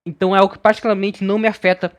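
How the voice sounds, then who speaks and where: A young Brazilian man with a notably high voice gives casual commentary as a game reviewer. He talks informally and bluntly, laying out his opinions in a conversational way. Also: level tone, racing.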